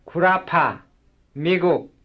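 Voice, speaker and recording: A man reading short cue words for a language substitution drill, one at a time in a slow, measured way. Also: fairly high pitch. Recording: dull lo-fi recording.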